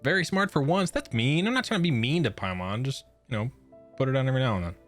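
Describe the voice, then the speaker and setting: A man's voice: medium pitch, expressive, fast, faint music behind. A young man giving casual, slangy and playful gameplay commentary as a streamer. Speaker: gaming streamer.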